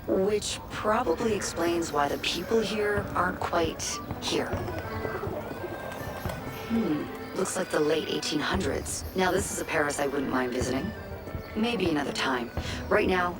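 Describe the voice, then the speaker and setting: An actress as a video game hacker guide with a fairly low female voice, heard over loud music. She is businesslike and quick to give instructions, with a dry, wry edge. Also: animated, natural voice. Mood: calm, wry. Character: brisk female hacker guiding an agent through a simulation. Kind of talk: video game voice acting, mission guidance.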